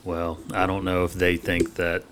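A middle-aged man, a physician and podcast co-host, talking in a measured, hesitant monotone on a studio mic. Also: deep voice, a little hiss or hum. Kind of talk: casual podcast chat.

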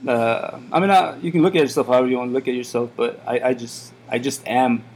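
A man's voice: mid-range voice, steady tone, fast, darkish tone, breathy edge. A man in his thirties, born in Iran, who runs a café, chatting casually in an interview and rambling with lots of fillers and restarts. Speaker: café owner.

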